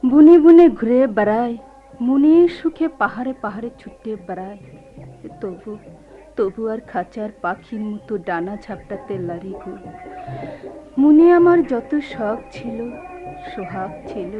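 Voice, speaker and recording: A woman's voice: mid-range voice, expressive, measured pace, natural voice. Actress as a plaintive rustic young hill woman, muffled. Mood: mournful, resolute. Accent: rustic regional Bengali dialect. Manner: lilting, plaintive, repeating phrases. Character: heartbroken, free-roaming young hill-tribe woman refusing old love. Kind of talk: Bengali radio drama acting. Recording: dull lo-fi recording with music behind.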